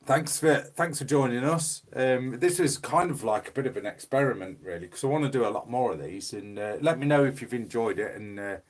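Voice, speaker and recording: A man hosting a casual livestream from his phone, hoarse from losing his voice, rambling and repeating words as he reads comments. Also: medium pitch, brisk, few pauses. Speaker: livestream host. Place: small home room. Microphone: mobile phone.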